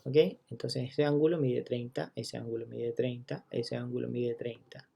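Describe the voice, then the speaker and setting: Male math teacher, gravelly, mellow tone, methodical step-by-step explainer. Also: medium pitch, level tone, moderate pace, muffled recording. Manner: walks through each step, checking in with listeners; counts items out loud one by one. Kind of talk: recorded geometry lesson.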